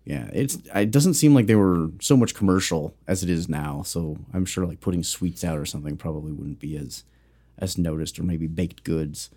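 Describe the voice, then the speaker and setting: A man with a very low, mellow voice chats casually as a co-host. He rambles through facts with lots of ums and restarts, keeping a jokey tone. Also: moderate pace. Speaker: podcast co-host. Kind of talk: casual chat.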